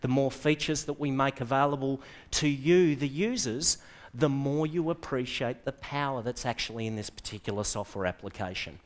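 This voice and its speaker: A middle-aged man with an Australian accent giving an enthusiastic software presentation to a live audience, quizzing the room and dropping quick asides. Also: medium pitch. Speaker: conference presenter. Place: lecture hall with seated audience.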